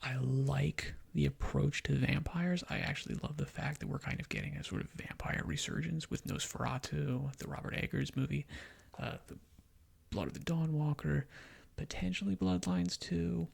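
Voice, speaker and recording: Husky, crackly man speaking ASMR-soft. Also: fairly high pitch, fairly even intonation, normal speed. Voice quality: breathy, husky half-whisper. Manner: rambling, opinionated, conversational. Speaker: gaming video host. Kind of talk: ASMR, soft-spoken gaming commentary.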